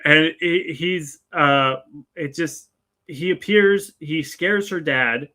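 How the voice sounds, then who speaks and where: Bright-voiced man talking through a film review. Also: fairly high pitch, slow pace. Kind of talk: casual film review discussion.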